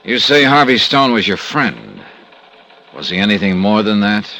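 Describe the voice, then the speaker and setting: A wry hard-boiled investigator. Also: male voice, on the low side, sing-song, normal speed, pushed and bright, heavily acted, rough recording. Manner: dry, clipped and sardonic. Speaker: insurance investigator and narrator. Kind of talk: 1950s radio drama acting.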